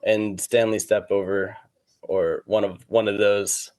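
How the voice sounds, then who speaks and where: A man with a fairly low voice talks casually as a podcast guest. He is easygoing and slangy, wandering through his sentences. The sound is close and dry. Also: level tone, unhurried, darkish tone, dry recording. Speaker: interview guest, competitive cornhole player. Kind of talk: casual chat.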